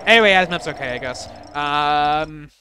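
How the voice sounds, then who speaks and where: Bright-voiced rambling young man. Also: on the high side, normal speed, a little hiss or hum. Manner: rambling, self-deprecating, many fillers and restarts. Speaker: level designer commenting on his own maps. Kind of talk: casual gameplay commentary.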